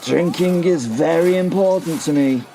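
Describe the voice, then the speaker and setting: A man's voice: a little high, normal speed, creaky, mellow, slight background noise, pitched above the performer's own voice. A man voicing a jovial, battle-hardened medieval knight in an audio drama, with a theatrical, exclaiming manner. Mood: cheerful, droll. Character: battle-hardened, jovial, wine-loving male medieval knight, indignantly loyal. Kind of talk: audio drama character acting.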